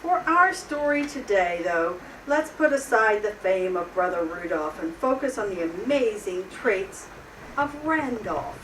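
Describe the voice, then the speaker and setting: A woman playing Mrs. Claus, a grandmotherly storyteller reading a children's storybook with measured expression. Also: mid-range voice, expressive, a bit bright. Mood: measured, storytelling. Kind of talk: storybook read-aloud to children. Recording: echoey room, distant mic, faint noise.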